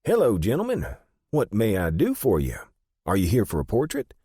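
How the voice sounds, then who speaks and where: A man voicing a small, brisk, polite and eager male photographer in an audiobook story. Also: on the low side, wildly swooping pitch, mellow, slightly rough, dry recording, studio quality, pitched above the performer's own voice, heavily acted. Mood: eager greeting.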